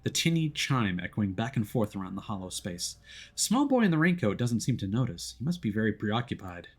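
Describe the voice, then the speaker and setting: A man's voice: lowish voice, very animated, fairly quick, slightly rough. A man streaming a game, narrating its story in a dramatic, theatrical manner.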